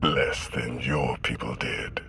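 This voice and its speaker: An actor playing an arrogant, scornful young warrior prince in a dramatic, measured, disdainful video game performance. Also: male voice, rumbling low, steady tone, gravelly, pushed and bright, a little hiss or hum, exaggerated voice. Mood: smug, menacing. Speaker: villain prince.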